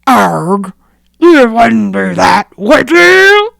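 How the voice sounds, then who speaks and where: A woman voicing a blustering, cowardly brigand chief in a storybook reading, loud and wailing. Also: a little high, very animated, drawn-out words, gravelly, no room sound, studio quality, full character voice.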